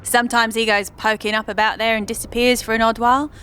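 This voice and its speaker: A woman's voice: fairly high pitch, little pitch movement, fast talker, a bit bright, smooth, lightly acted. A woman voicing a cagey, amused slum scavenger in a fantasy audio drama, with sly street talk.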